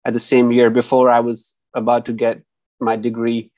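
A man's voice: medium pitch, completely flat delivery, moderate pace, a little mellow, no echo. A man in his thirties, originally from Pakistan, speaks as a guest in a casual interview over a video call, talking hesitantly with many restarts and "you know"s. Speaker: guest engineer. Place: small room indoors. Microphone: earphone headset mic on video call.

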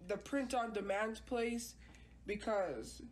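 A woman's voice: mid-range voice, takes it slowly. A woman streaming live from home with a congested nose, chatting in a rambling, hesitant way with many restarts. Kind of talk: casual livestream chat. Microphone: phone.

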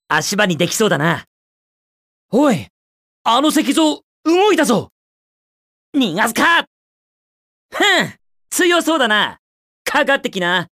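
A man's voice: very high voice, fairly bright tone, dry recording, clean studio sound, heavily acted. A man voicing a cocky, carefree young hedgehog speedster hero in a video game, with a cool, brash, quippy delivery. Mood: urgent. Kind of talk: Japanese video game character voice lines.

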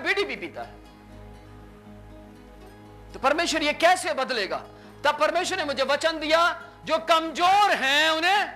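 Guy in his 30s preaching on a small stage, high voice, really animated, soft music in back. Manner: animated preacher, acts out dialogue with sweeping gestures. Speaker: pastor. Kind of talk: sermon. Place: small indoor stage with curtains.

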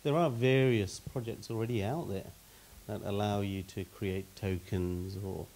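Low-voiced man answering on a panel stage. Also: steady tone, unhurried, darkish tone, poor audio. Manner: expansive, repeats words when starting, gives lists of examples. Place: stage in a meeting hall with audience. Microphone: handheld mic.

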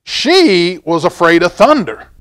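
A middle-aged man reading a picture book aloud to children in a folksy, expressive way, with chatty asides. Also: sits high, slow pace. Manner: folksy, expressive, asking the listener questions. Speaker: library storytime reader. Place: indoor library children's room.

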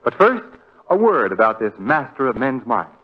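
A man's voice: mid-range voice, very animated, moderate pace, breathy edge. A man announcing in formal, dramatic 1940s radio style, reading scripted narration. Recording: muffled vintage radio broadcast.

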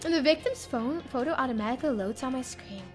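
A woman streamer reading a visual novel aloud as first-person narration, expressive and theatrical. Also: high-pitched, fast talker. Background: game music.

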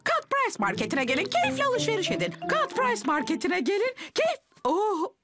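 Casting: clumsy, apologetic cartoon village woman, dub, very high pitch, loud music behind. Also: huge pitch swings, moderate pace, heavily acted. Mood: chanting. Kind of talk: animated children's cartoon dub.

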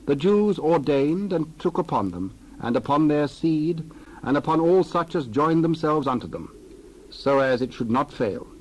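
A man narrating a dramatized scripture reading in a measured, solemn way with deliberate phrasing. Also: a little high, lively intonation, slight background noise, poor audio. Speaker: Bible narrator.

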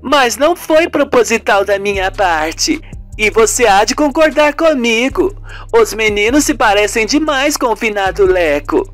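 Man putting on a high-pitched gossipy wife. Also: lively intonation, unhurried, fairly bright tone, faint music behind. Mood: insistent. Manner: emphatic, indignant, colloquial. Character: gossipy, suspicious wife chatting in bed.

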